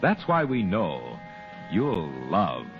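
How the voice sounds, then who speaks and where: Old radio ad guy, formal pitchman, really lively delivery, music behind, muffled recording. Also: slow pace. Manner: formal, emphatic salesmanship. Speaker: radio announcer. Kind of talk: scripted old-time radio commercial and sign-off.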